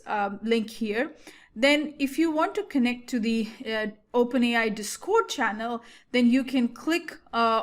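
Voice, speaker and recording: A woman whose first language is Hindi, walking viewers step by step through a software tutorial. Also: medium pitch. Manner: explanatory, with frequent fillers and restarts. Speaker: tutorial host. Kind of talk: screen-share software tutorial.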